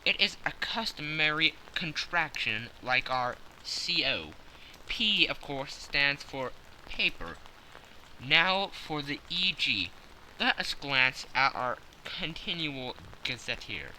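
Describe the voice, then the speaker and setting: A man voicing a brisk, sharp-minded Victorian detective who lectures with crisp self-assurance in an audiobook. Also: on the high side, lively intonation, slight background noise, exaggerated voice, low-quality recording. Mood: lecturing. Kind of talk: audiobook character reading.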